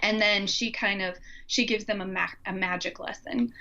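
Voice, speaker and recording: A woman's voice: mid-range voice, fairly even intonation, normal speed, sharp tone, lo-fi sound. A woman co-hosting a podcast, chatting casually and effusively in an informal way. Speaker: podcast co-host. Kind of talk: casual conversational podcast chat.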